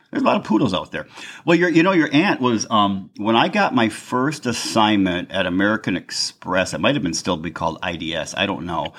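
A middle-aged man hosting a podcast, with a fairly loud voice, rambling and restarting his sentences. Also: deep voice. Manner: rambling, restarts often, chuckles. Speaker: podcast host. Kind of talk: casual interview talk.

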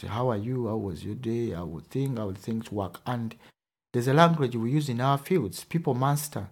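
Ugandan man, Luganda first language, husky mid-pitched voice, earnest and emphatic. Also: a little mellow. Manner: earnest, emphatic, with hesitant restarts. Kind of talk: podcast conversation.

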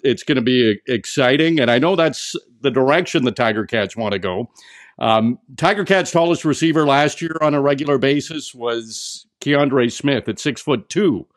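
A man hosting a sports radio interview in a conversational way, pausing now and then as he frames his questions. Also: medium pitch. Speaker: sports show host, interviewer.

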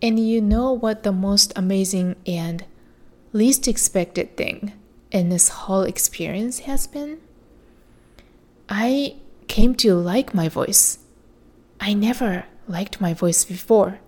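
A woman hosting a podcast, talking through a reflective solo episode. Her voice is soothing and measured, and she speaks unhurriedly at a mid pitch. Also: dark tone. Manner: slow, soothing, measured delivery. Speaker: podcast host.

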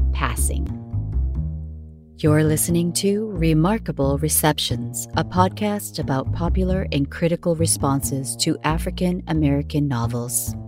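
A woman reading a scripted podcast narration as its narrator, with measured, emphatic phrasing. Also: sits low. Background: music under the voice.

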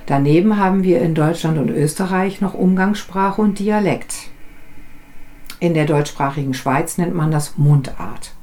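A woman from northern Germany co-hosting a language podcast, telling stories from home calmly with small restarts. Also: lowish voice, a little slow. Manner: calm, reflective storytelling with small restarts. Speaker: podcast co-host, business German teacher. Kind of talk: conversational podcast chat.

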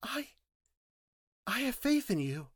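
A man's voice: very high voice, sing-song, extremely slow, pushed and bright, slightly rough, no room sound, clean studio sound, pitched above the performer's own voice, heavily acted. A man voicing an anxious, devoted young woman in an audiobook story, with an earnest, pleading delivery. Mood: hopeful, earnest.

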